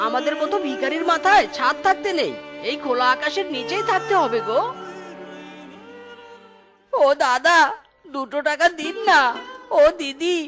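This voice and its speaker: A woman's voice: sits high, a bit bright. A woman voicing a weary, worried and pleading poor beggar in a children's cartoon. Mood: resigned.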